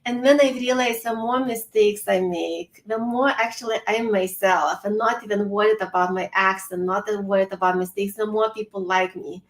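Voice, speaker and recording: A woman's voice: mid-range voice, fairly even intonation, moderate pace, few pauses, echoey room. A woman from Lithuania with a Lithuanian accent, a native Lithuanian speaker sharing her story as a speaker in an online panel chat, earnest and sometimes searching for words. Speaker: summit speaker. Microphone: video call.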